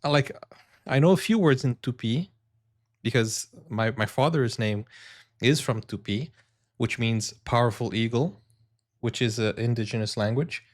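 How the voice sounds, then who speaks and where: A man's voice: mid-range voice, a little slow. A Brazilian man whose first language is Portuguese, speaking English with an American accent, hosting a reaction video in an earnest, rambling way with many fillers. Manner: earnest, addresses viewers directly. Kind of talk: casual reaction commentary.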